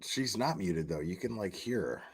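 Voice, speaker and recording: A man reacting live as a stream host, his pitch swinging widely as he talks. He is slangy and profane, with a mocking, casual way of commenting. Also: animated, normal speed, slightly rough.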